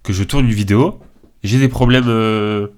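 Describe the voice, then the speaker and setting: Low-voiced young man, congested, casual. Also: steady tone, normal speed, darkish tone. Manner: informal, slangy, hesitant delivery. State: congested from a cold. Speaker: video creator. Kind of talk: casual tier list commentary.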